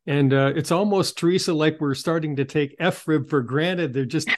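A man's voice: on the high side, normal speed. A man hosting an interview show, giving polished, supportive segues and prompts with a promotional touch.

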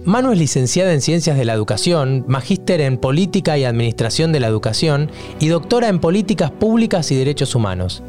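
Higher-voiced man narrating a podcast. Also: fast, few pauses. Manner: even, informative delivery. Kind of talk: scripted narration. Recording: faint guitar music behind.

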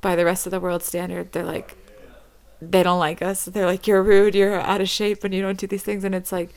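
A woman's voice: fairly low pitch, level tone, a little fast, a little mellow. A woman from the United States hosting a casual interview, talking loosely with lots of "like". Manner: filler-heavy, uses "like" a lot. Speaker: host.

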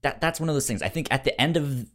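A man chats about films as a podcast co-host. His intonation is lively and varied. He stammers, often repeating a sound or word before completing it, while keeping a casual conversational style. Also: mid-range voice, quick pace. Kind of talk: casual film discussion.

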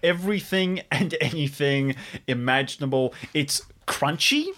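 A man's voice: fairly high pitch, measured pace, pushed and bright. A man playing in an improvised audio drama, casually narrating comic action.

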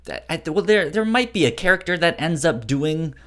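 A podcast co-host chatting casually about books in a halting way, often trailing off and rephrasing. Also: medium pitch, lively intonation, fairly bright tone. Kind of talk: casual book discussion chat.

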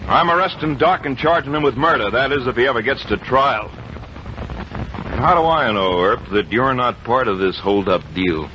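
A man's voice: medium pitch, lively intonation, normal speed, pushed and bright. An American man playing a stubborn, suspicious frontier sheriff in a radio western, blunt and authoritative. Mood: stern. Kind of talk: old-time radio western acting. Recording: muffled, faintly hissy vintage radio recording.

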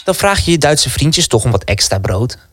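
A man's voice: mid-range voice, very animated, moderate pace, noisy background, close to an everyday voice. A man acting a young, bitter resistance fighter in a wartime radio play. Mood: bitter, sarcastic.

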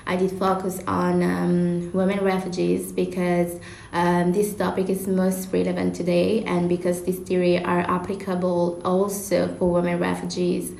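A woman's voice: lowish voice, monotone, moderate pace, vocal fry, a little mellow. A young woman from Italy with an Italian accent, hosting a student podcast discussion and stumbling over words as she presents. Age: young adult. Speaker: student host. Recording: echoey room with faint noise.

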